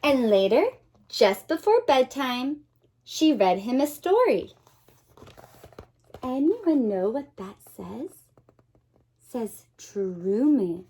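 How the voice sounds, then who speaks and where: A woman teacher reading a picture book aloud to young children, expressive and dramatic, pausing to put questions to her listeners. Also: on the high side, husky. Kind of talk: animated children's read-aloud.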